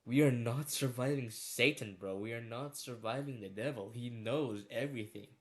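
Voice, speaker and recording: A man's voice: mid-range voice, normal speed. Casual, rambling man giving commentary. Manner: informal and slangy with casual swearing. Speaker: video creator ranking horror series.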